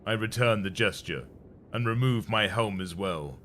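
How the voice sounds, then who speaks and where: A man with a low voice narrates a dramatic war tale in the first person, as a grim and commanding armored soldier. Clear ambient noise sits behind the scripted reading. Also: expressive, normal speed, a bit bright. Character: grim, commanding armored warrior recounting a battle. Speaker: narrator of an audio story.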